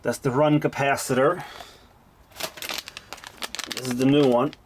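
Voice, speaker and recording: Male hobbyist repairer, medium pitch, informal and plain-spoken, unscripted how-to narration, noisy home-video sound. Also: fairly even intonation, measured pace. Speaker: hobbyist repairer of vintage tape machines. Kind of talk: casual off-the-cuff repair narration. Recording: clearly noisy amateur video recording.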